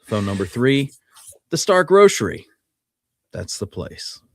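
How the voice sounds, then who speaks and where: A man's voice: mid-range voice, very animated, slow pace, vocal fry, no echo. Man hosting a casual radio show, close dry sound. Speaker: podcast host.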